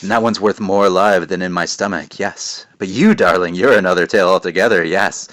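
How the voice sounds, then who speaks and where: Man putting on a raspy faceless witch. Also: sits low, brisk, fairly bright tone, no echo, studio quality. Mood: purring menace. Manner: purring, lingering on "darling". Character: raspy faceless egg-headed witch, female, sinister.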